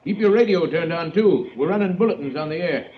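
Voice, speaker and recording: An actor playing a small-town sheriff giving brisk warnings, in an old radio drama. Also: male voice, a little high, expressive, lightly acted. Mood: stern. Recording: dull old radio recording, faint hiss.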